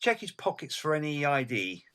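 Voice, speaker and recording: Bright-voiced, commanding police inspector. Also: male voice, a little high, big pitch swings, moderate pace. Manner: businesslike, commanding. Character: commanding Victorian male police inspector, plain-spoken and questioning. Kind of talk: audio drama acting.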